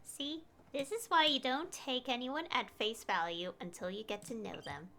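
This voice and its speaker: A woman's voice: a little high, normal speed, creaky. A woman streaming a game, musing aloud in an informal, slightly hesitant way as she reacts. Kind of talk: casual live stream reactions. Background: soft game music.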